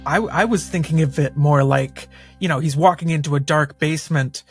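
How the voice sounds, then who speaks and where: A man's voice: on the high side, moderate pace, breathy edge, faint music behind. A man chatting casually as a player in a tabletop game.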